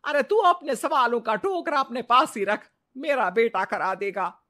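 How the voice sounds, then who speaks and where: A woman voicing an irritable, scolding middle-aged mother-in-law in an animated story, nagging with exasperated sarcasm. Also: on the high side, measured pace, voice pushed up.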